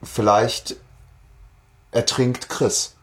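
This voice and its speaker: A man's voice: mid-range voice, little pitch movement, extremely slow. Man, mid-range voice, colloquial and blunt, casual podcast chat, anecdotal retelling. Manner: colloquial, blunt slangy wording. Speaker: podcast co-host.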